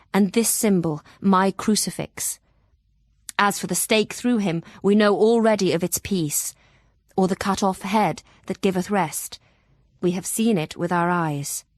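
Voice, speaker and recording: An actress playing an earnest young Victorian woman, narrating solemnly and deliberately in an audio drama. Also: medium pitch, dry recording, clean studio sound, close to an everyday voice.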